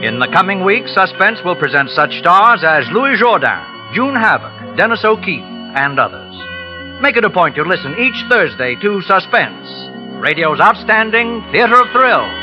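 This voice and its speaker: A man announcing promotions in smooth 1940s radio style. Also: sits high, big pitch swings, moderate pace. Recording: dull old radio broadcast with music.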